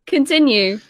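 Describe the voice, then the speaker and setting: A woman with a voice pitched a little high. She sounds close and dry, with no room around her, and talks casually as one of a podcast panel. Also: dry recording. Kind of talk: casual group podcast banter.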